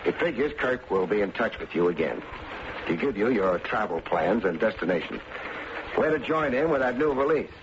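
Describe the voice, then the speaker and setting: Actor as a wisecracking private eye, muffled noisy radio. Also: male voice, on the low side, expressive, normal speed, lightly acted. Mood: brisk, teasing. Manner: wry, slangy, clipped delivery. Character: wisecracking, hard-boiled male private detective, cocky and shrewd. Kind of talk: old-time radio detective drama acting and narration.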